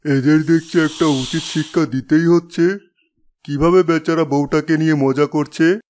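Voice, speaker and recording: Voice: medium pitch, steady tone, moderate pace, darkish tone, lightly acted. An actor voicing a compassionate serpent who sides with the wronged in a children's fairy-tale cartoon. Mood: indignant. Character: red serpent, compassionate toward the wronged, indignant at mockers. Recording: music behind the voice.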